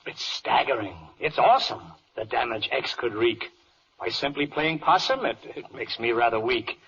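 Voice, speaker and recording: An actor playing a pompous, dithering older judge in a 1940s comic radio play in rhyming verse, blustering and hemming and hawing. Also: male voice, medium pitch, animated, moderate pace, a bit bright, husky, exaggerated voice. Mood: flustered. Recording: dull old radio broadcast recording.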